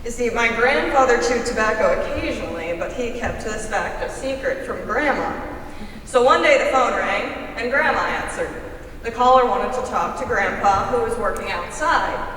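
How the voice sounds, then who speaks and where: A woman's voice: fairly high pitch, expressive, moderate pace, a bit bright. A woman telling family anecdotes as a performer in a staged reading. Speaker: readers theater performer. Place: large hall, live stage reading. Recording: echoey hall from far off, faint noise.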